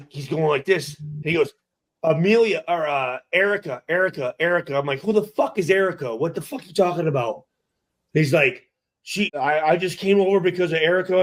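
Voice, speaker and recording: A middle-aged man with a fairly high-pitched voice tells a story in casual, profane language. He halts and repeats phrases as he goes, acting out what was said in the exchanges. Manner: halting, repetitive, acts out exchanges. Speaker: podcast guest telling a story. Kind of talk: animated casual storytelling, heavy swearing.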